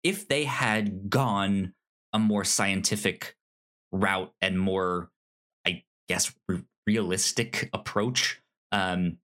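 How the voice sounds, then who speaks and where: A man co-hosting a casual film discussion podcast, speaking haltingly and often stammering over repeated syllables. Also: deep voice, a bit bright. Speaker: podcast co-host.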